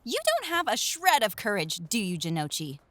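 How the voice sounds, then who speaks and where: A woman's voice: mid-range voice, wildly swooping pitch, moderate pace, fairly bright tone, no echo. A woman voicing a bossy, spirited teenage schoolgirl in an anime-style audio drama, teasing and scolding.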